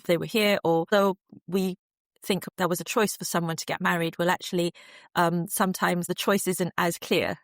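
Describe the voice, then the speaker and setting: Female researcher guest, low-pitched, measured and reflective, dry room. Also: dry recording. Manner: thoughtful, measured, many fillers. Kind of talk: interview answers.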